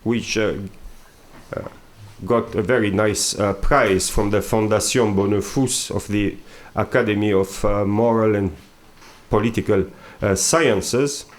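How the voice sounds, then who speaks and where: A man moderating an academic symposium, introducing the next speaker in a halting way with many fillers. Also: on the low side, fairly even intonation. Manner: halting, filler-heavy delivery.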